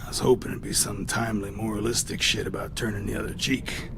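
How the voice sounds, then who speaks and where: An actor playing a wounded middle-aged raider held captive in a video game, with a gravelly voice and a taunting, profane, menacing manner. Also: male voice, on the low side, little pitch movement, quick pace, noisy background. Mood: sly, weary.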